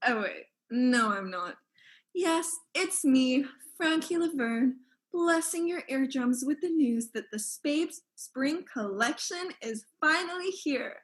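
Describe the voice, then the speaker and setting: An actress playing a haughty, self-adoring fashion mogul in a parody ad, with a theatrical delivery. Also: female voice, sits high, animated, slow. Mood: haughty, gloating. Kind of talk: parody advertisement.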